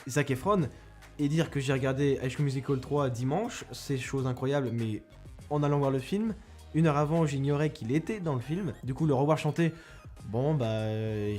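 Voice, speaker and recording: A man's voice: medium pitch, moderate pace, darkish tone, faint music behind. A young man giving a casual spoken film review, rambling and self-mocking with many restarts, close to a microphone.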